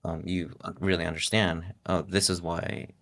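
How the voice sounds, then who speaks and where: A middle-aged man talking casually as a guest on his wife's podcast, relaxed and halting, with many restarts. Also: deep voice. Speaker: podcast guest, host's husband. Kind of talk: casual interview talk.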